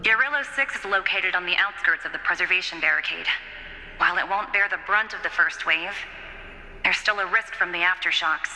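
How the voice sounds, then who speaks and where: Game role: confident businesswoman envoy, sharp bright tone, matter-of-fact, echoey. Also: fairly low pitch, fairly quick. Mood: assured. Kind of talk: video game voice acting.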